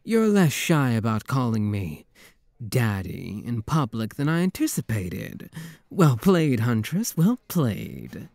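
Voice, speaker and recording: Male actor as flirtatious demon prince, romantic audio roleplay, courtly drawl, teasing mock menace, highly animated intonation, very dry close recording. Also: slow pace, vocal fry, no echo, full character voice. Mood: indignant, teasing, then wry, fond.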